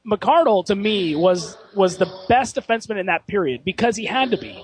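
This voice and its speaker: A man's voice: sits high, big pitch swings, slightly rough, dry recording. A man hosting a hockey radio broadcast and chatting with his guest, asking long questions while losing his voice. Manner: quick, asks long multi-part questions.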